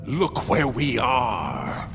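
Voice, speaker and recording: Slow, wildly swooping sinister male tormentor. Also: mid-range voice, huge pitch swings, gravelly, music in the background, heavily acted. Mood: accusing contempt. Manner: slow, theatrical, taunting delivery swelling into shouts. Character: menacing mocking male tormentor in a nightmare vision. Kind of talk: dramatic video game voice acting.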